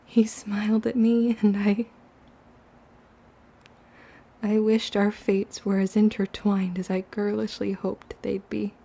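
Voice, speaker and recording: A woman's voice: medium pitch, flat delivery, measured pace, vocal fry, warm tone. A woman playing a guarded, wounded young witch girl who tells her story in formal period speech in an audio drama. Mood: wistful. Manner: archaic, formal period diction. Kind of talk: confessional first-person audio drama narration and dialogue.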